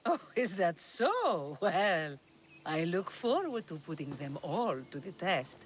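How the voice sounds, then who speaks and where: Game role: imperious huntress, lowish melodic voice, measured and teasing, faint outdoor ambience. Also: female voice, big pitch swings, slight background noise. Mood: sly, flirtatious. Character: sly, commanding huntress devoted to a Greek goddess. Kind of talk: video game cutscene acting.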